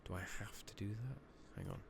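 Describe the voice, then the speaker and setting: A British man giving soft-spoken, partly whispered ASMR commentary on a video game, muttering casually to himself on a close microphone. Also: on the low side. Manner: casual, unhurried, muttering to himself, mild swearing. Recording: noisy mic with keyboard clicks.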